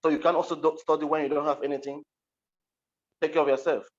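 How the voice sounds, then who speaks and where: A man's voice: a little high, flat delivery, fast talker. Muffled Cameroonian man, hesitant and plain-spoken. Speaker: interview guest, interpreter. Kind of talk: recounting personal experience in an online panel. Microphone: video call. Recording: muffled, dull online call audio.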